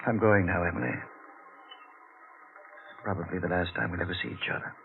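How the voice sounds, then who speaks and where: Very deep, weary older man. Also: lively intonation, normal speed, phone-quality sound. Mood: grave, resigned. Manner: grave, resigned. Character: weary, resigned older man saying farewell. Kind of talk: 1940s radio horror acting. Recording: thin old radio recording, music behind.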